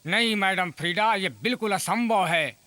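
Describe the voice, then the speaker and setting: A man's voice: sits high, normal speed, sharp tone, dry recording. An actor voicing an obliging, self-assured male cartoon sidekick. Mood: matter-of-fact. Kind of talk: Hindi cartoon dub acting.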